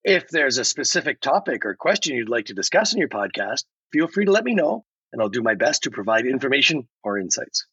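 A man voicing a helpful, upbeat AI chatbot guest, explaining points in a measured, scripted way. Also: big pitch swings, a bit bright, slightly rough, heavily acted. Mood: polite, helpful. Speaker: podcast guest. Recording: roomy, muffled low-fidelity recording.

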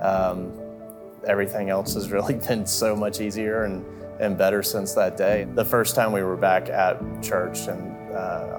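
A man from Central Florida sharing a soft-spoken, hesitant testimony. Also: low-pitched, gravelly. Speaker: church member giving testimony. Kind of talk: testimony interview. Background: guitar music.

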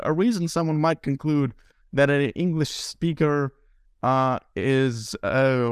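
Podcast guest: man, smooth dry voice, earnest explainer with wry wit. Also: medium pitch, no room sound. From Lithuania. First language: Lithuanian. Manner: earnest, explanatory, dryly humorous. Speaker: guest, translator and philosopher. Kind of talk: casual podcast conversation.

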